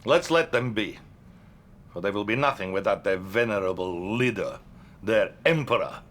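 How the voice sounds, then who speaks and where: A man playing a grandiose, smoothly flattering Soviet premier with a Russian accent in a video game cutscene. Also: on the low side, slight background noise. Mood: grandiose, menacing. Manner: slow, pompous oratory. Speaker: video game character actor. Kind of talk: dramatic game cutscene acting.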